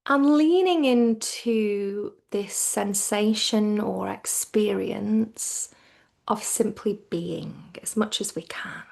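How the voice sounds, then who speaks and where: Slow, creaky-voiced woman guiding relaxation. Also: mid-range voice, darkish tone. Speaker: yoga nidra teacher.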